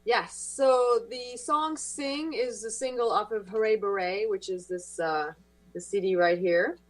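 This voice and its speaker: A woman's voice: mid-range voice, unhurried, no room sound, soft background music. A woman musician from France, a guest on talk radio, speaking casually and hesitantly with many fillers.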